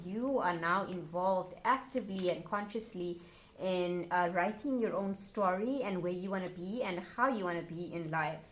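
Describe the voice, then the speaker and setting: A woman giving a casual tarot reading to her viewers, chatty and earnest, her voice a little raspy. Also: fairly low pitch, slight background noise. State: slightly raspy voice. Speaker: tarot reader.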